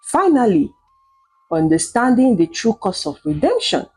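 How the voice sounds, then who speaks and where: Casting: female folktale narrator, dramatic scripted read, widely moving pitch, relaxed dark tone. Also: measured pace, husky, faint music behind. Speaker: storyteller.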